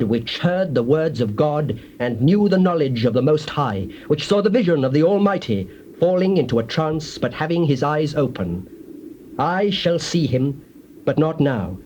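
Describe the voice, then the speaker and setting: An actor playing a solemn, defiant prophet in a dramatized audio Bible, declaiming grand oracles like incantations. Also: male voice, fairly high pitch, very animated, unhurried, warm tone, dull audio. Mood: solemn, prophetic. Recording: hissy old cassette tape.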